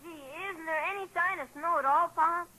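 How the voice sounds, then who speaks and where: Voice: very high-pitched, brisk, heavy background noise. A performer playing an eager, trusting young boy in 1940s radio comedy-drama, wide-eyed and insistent, full of boyish slang. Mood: hopeful. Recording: 1940s radio broadcast recording.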